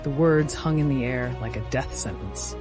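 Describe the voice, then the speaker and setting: A man's voice: medium pitch, normal speed, poor audio. Solemn man narrating fantasy over music. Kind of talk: scripted dramatic fantasy narration.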